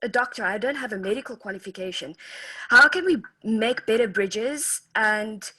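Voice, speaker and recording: A woman on a video call asking a long question hesitantly, with many pauses and restarts. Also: a little high, animated, bright, forceful tone, slightly rough, poor audio. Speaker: course participant.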